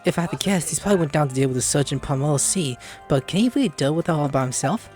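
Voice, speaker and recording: A man's voice: a little high, animated, very fast, darkish tone, faint music behind. A man giving casual gameplay commentary, playful and rambling, often stumbling over names. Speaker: gaming video host.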